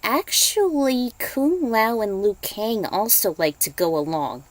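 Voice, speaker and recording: Lady voicing a calm shaman warrior guy, plain-spoken, takes his time, fanfic. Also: fairly high pitch, takes it slowly, dry recording. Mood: hesitant.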